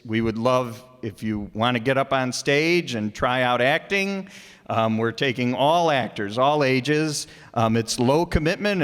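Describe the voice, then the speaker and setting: A man speaks informally to an audience, making a community theater announcement. His medium-pitched voice carries the long echo of an auditorium. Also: fairly bright tone. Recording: echoey auditorium reverb.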